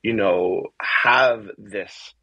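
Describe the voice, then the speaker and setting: A man's voice: fairly low pitch, drawn-out words, sharp tone, husky, no room sound, dull audio. A middle-aged man from the US, born in Argentina, answering conversationally in an interview, often repeating words and checking in with "right?". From born in Argentina, grew up in the US from age four. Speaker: theater composer, music director and adapter. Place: small bare room, indoors, video call.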